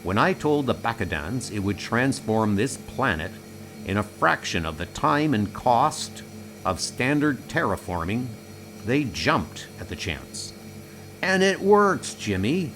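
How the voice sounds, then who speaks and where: A man's voice: mid-range voice, sing-song, slow pace, a bit bright, soft background music, full character voice. Man as an arrogant, defiant colony boss. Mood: boastful. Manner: combative, scornful. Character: defiant, arrogant male colony leader, stubborn and unrepentant.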